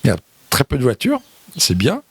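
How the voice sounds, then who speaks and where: Man, interview guest, creaky fry, dry close sound, hesitant, repeats himself. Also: mid-range voice, wildly swooping pitch, no room sound. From Europe. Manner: halting, repeats words and phrases. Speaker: interview guest, visitor to Burundi. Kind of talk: unscripted interview answers.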